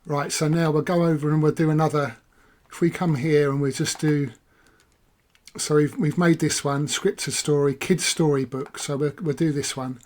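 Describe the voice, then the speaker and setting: A man talks casually through a software demo, his voice hoarse after long use. He rambles and corrects himself as he goes, thinking aloud while clicking. His voice sits a touch on the high side. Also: fairly even intonation, normal speed. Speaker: software reviewer. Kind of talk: casual screen-recorded walkthrough.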